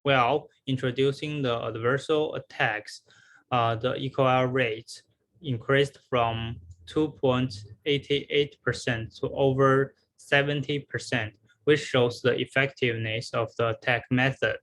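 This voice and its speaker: A man giving a scripted academic research presentation in a halting way, with repeated words and pauses. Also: medium pitch, steady tone, fairly bright tone, low-quality recording.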